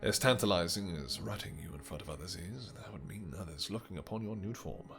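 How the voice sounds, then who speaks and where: A man playing a possessive, lovestruck vampire king in a romantic fantasy audio roleplay, speaking in formal courtly phrases with halting pauses. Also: sits low, animated, gravelly, music in the background. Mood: possessive, firm.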